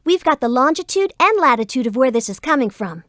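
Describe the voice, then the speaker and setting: A woman's voice: sits high, sing-song, fairly quick, fairly bright tone, no room sound, clean studio sound. A woman playing a confident, take-charge young spy leader explaining missions in an educational cartoon game. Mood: encouraging.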